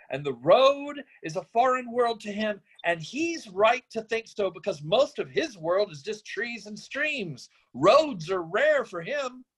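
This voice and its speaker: A man plays a middle-aged father who left his family, idealistic and disillusioned. He speaks deliberately and broodingly, as in a dramatic table read, with a philosophical bitterness underneath. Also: extremely high pitch, a bit bright, full character voice, rough recording. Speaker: actor in a screenplay reading.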